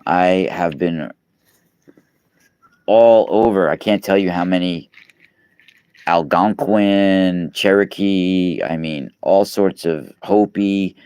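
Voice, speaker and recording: A man's voice: low voice, slow pace, pauses often, darkish tone, smooth, dry recording, clean studio sound. A man, chatting casually on a live stream, rambling with many fillers and restarts. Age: 40s. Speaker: podcast host and radio guest. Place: East Coast, New York.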